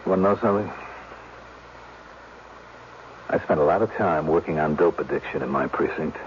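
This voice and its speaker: Radio drama casting: man playing an earnest, reflective fellow, lowish voice, halting speech, dull old broadcast sound. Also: slight background noise, close to an everyday voice. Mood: compassionate, moved. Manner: halting, reflective, repeating himself for emphasis. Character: earnest, compassionate man musing sadly on hard life.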